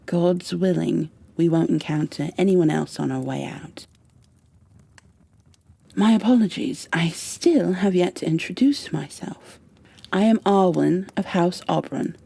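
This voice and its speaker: A woman playing a stern high elf warrior in an ASMR roleplay, with formal, commanding, impatient phrasing and a soft, breathy delivery that often drops toward a whisper. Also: heavily acted. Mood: formal, urgent. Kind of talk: ASMR roleplay, scripted in-character monologue.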